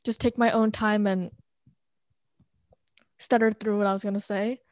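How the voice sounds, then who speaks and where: A woman who stutters with pauses and blocks, talking in casual conversation and laughing softly between phrases. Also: medium pitch, little pitch movement, no room sound.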